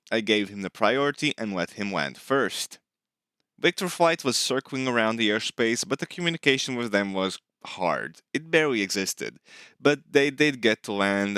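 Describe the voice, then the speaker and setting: A man's voice: lowish voice, bright, forceful tone, smooth, dry recording, clean studio sound. A man giving a scripted voice-over narration, recapping his own gameplay as a flight sim hobbyist.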